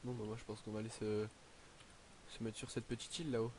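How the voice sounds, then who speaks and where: A teenage boy narrating his game in a casual, hesitant way, filling pauses with "donc, euh" and sounding slightly stuffy from a cold. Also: fairly low pitch, little pitch movement, darkish tone, slight background noise. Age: teens. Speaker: gaming YouTuber. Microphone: headset.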